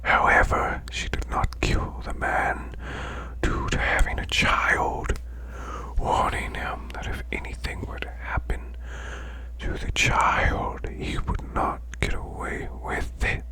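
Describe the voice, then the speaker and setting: A man voicing a demon boss in a breathy, growling whisper, reading folklore slowly as soothing bedtime ASMR. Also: poor audio. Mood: hushed, soothing. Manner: slow, hushed, deliberate recitation. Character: male demon butler's boss, growling softly, soothing storyteller.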